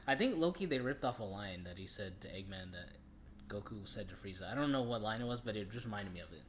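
A man's voice: on the low side, lively intonation, fast talker, roomy sound, a crowd in the background. A man co-hosting a casual movie discussion, speaking in an offhand, slangy and profane way. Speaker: podcast co-host.

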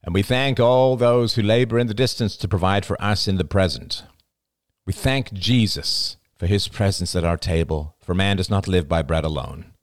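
A man voicing a devout, hearty elderly father, speaking in a measured, declaiming way for a story. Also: low voice, no echo, clean studio sound, close to an everyday voice. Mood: solemn, reverent. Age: older.

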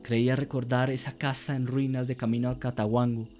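A man narrating a scripted radio drama in a solemn, theatrical way with measured phrasing. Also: mid-range voice, flat delivery, darkish tone, faint music behind.

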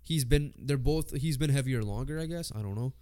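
Male sports podcast co-host, congested yet clear, informal, loose-tongued, mid-range pitch. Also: fairly quick, darkish tone. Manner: informal, swears casually. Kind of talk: casual sports chat, reading lists and predictions.

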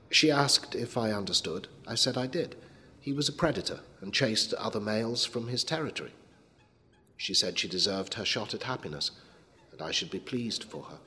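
A man reading a short story as a dramatic narrator, in a measured and sombre manner. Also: lowish voice, bright, forceful tone. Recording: long hall reverb, clear background noise.

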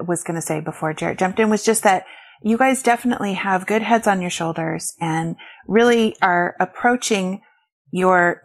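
A woman of fifty, hosting a casual podcast interview in an effusive, complimentary way. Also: lowish voice. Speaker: podcast host.

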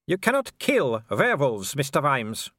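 A man voicing a gloating male werewolf villain in an audiobook, taunting with drawn-out, mocking courtesy. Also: medium pitch, very animated, fairly bright tone, no echo, clean studio sound, pitched above the performer's own voice. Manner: taunting, drawn-out mock politeness.

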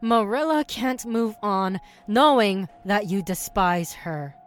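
A woman's voice: medium pitch, animated, slow, soft background music. A woman playing a vengeful siren, a menacing and protective sister, in an audio drama. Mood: cool, menacing.

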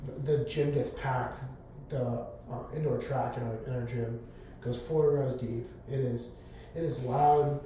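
A hoarse young man, a college public address announcer, answering interview questions in an eager, rambling way full of fillers. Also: medium pitch, fairly even intonation, a little slow, mellow. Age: young adult. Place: room on a college campus. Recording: very echoey room, faint background hum.

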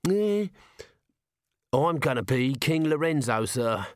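A man puts on a twitchy little corporal, pitched well above his own voice, stammering and hedging at every turn. The reader's voice jumps up and down in pitch as the character squirms. He records this in a dry, close room. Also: lively intonation, takes it slowly, no echo. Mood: nervous. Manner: stammering, hesitant, full of "sir"s. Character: nervous little male corporal, shifty and evasive. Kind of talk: audiobook character voice.

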